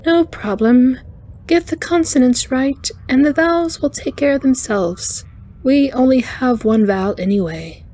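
A woman's voice: high-pitched, mellow, pitched above the performer's own voice. A woman voicing a cheerful, intense female alien spider engineer in an audiobook, with a light chiming voice and courteous, precise speech. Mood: warm, cheerful. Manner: brisk, precise, courteous, slightly formal. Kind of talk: audiobook character voice.

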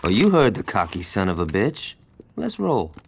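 An actor playing a brash, hot-headed American gang lieutenant in a video game, sarcastic and aggressive, with plenty of swearing. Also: male voice, lowish voice, huge pitch swings, normal speed, vocal fry, background noise, full character voice. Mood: irritated, cocky. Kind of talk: video game cutscene acting.

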